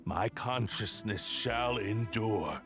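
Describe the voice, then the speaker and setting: An actor playing an arrogant, desperate spirit of a cursed blade in a video game, speaking with theatrical, grandiose menace. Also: male voice, lowish voice, slow, music in the background. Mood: menacing. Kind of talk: dramatic video game voice acting.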